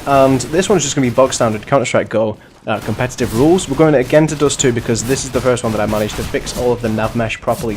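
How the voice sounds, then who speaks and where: A young man, hoarse and sniffly from the flu, giving rambling, chatty commentary on a bot match. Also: medium pitch. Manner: rambling, self-correcting, chatty. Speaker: host and commentator of a bot league. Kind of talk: casual gaming commentary.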